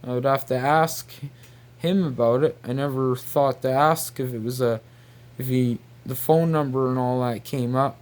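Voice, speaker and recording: A man rambling casually in a halting, repetitive, incredulous way. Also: mid-range voice, steady tone, darkish tone. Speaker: video creator.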